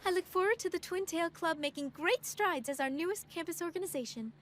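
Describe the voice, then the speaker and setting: An actress playing a polite, formal teenage student council president in an English anime dub. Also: female voice, very high-pitched, brisk, a little hiss or hum.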